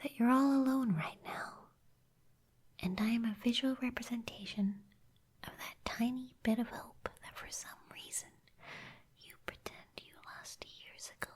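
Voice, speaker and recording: A woman voicing a teasing but caring young cactus girl in an ASMR roleplay, soft and slightly husky, blunt yet encouraging. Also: on the high side, unhurried. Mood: hushed, earnest.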